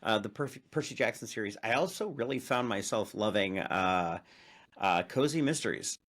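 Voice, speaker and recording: A man from Illinois hosting a casual interview, talking in a halting way with many fillers and restarts. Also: fairly low pitch, lively intonation, a bit bright. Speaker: interview host.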